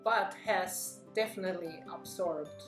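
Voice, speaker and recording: A woman from Germany with a German accent, speaking reflectively in an interview with measured pauses. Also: on the low side. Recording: echoey room, guitar music underneath. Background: clear guitar music.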